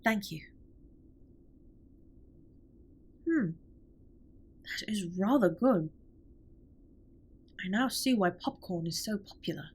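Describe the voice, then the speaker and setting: A woman's voice: medium pitch, animated, measured pace, breathy edge. A woman playing a formal, reserved but tender alien spaceship captain in a scripted comfort audio roleplay, speaking with precise, stilted politeness. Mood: polite, content.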